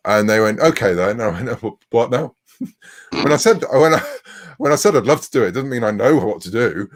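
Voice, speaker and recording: A man's voice: mid-range voice, expressive, a little fast. A middle-aged man talking casually as an interview guest, chatty and often interrupting himself.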